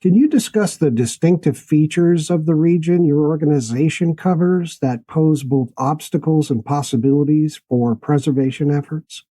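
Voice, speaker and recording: A man's voice: a little high, normal speed, hardly any pauses, warm tone. A man hosting a conversational interview, with a folksy, curious way of talking. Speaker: podcast host interviewing guests.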